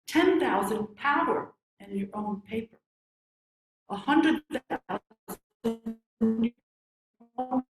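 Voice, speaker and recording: A woman reading a poem aloud at a service in a measured, deliberate delivery. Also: on the high side. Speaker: poetry reader at a service. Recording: echoey room.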